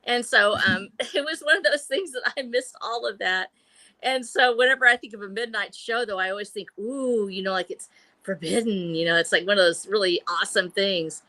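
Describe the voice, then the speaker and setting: Bright-voiced effusive woman in her sixties. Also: medium pitch, normal speed, dry recording. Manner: effusive, chatty, giggly. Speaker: panel show guest. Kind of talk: casual chat.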